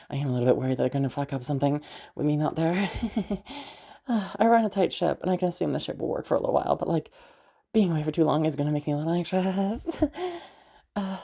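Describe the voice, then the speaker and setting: Girl playing a chatty escort lady in a roleplay audio, low mellow voice, rambly n sweary, gets flustered easy. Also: vocal fry, husky, heavily acted. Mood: friendly, slightly awkward. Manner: rambling, sweary, giggly, informal. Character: chatty, friendly woman escort, paranoid, easily flustered. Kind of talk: roleplay audio drama, casual improvised-sounding monologue to the listener.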